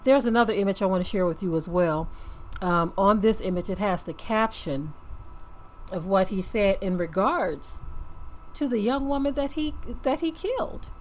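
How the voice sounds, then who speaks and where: A woman speaks off the cuff as the host of a religious commentary show, in a mid-pitched voice. She is earnest and preachy, repeats phrases for weight and often checks that listeners agree. There is slight noise in the background. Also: lively intonation, moderate pace. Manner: emphatic, repeats phrases, seeks agreement with okay and right.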